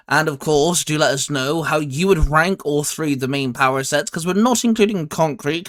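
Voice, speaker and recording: A man's voice: fairly high pitch, a bit bright. A man hosting a casual video game ranking discussion, talking to viewers and a co-host.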